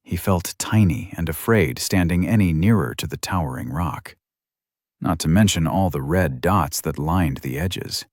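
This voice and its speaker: Low-voiced male audiobook narrator. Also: lively intonation, measured pace, dry recording, studio quality. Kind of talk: scripted narration of a fantasy novel.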